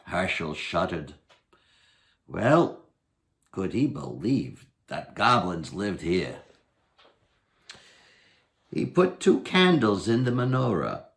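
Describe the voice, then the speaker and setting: An older man in his sixties reads a storybook aloud in a dramatic style. His pace is slow and unhurried, with very varied intonation. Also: low-pitched, big pitch swings, creaky. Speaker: storybook reader. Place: indoors, home dining room.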